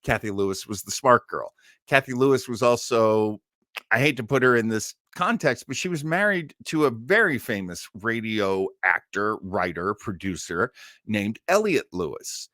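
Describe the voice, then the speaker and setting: A man's voice: lowish voice, expressive, normal speed, pushed and bright, no echo, studio quality. A middle-aged or older man hosting a live online listening party, chatting casually and enthusiastically like a devoted fan. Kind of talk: casual live chat introducing a radio cast.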